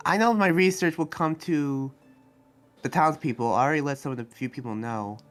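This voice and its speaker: A man playing a kind, idealistic young demon slayer and researcher in an improvised roleplay, speaking earnestly and haltingly. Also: on the high side, soft background music, lightly acted. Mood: concerned, pleading. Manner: hesitant, earnest, halting with restarts.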